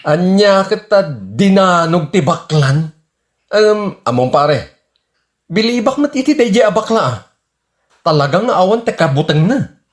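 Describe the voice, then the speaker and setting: A man's voice: high-pitched, expressive, a little slow. A man playing a frank buddy who chides his friend in an Ilocano radio comedy drama, matter-of-fact, with an Ilocano accent. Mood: chiding, teasing.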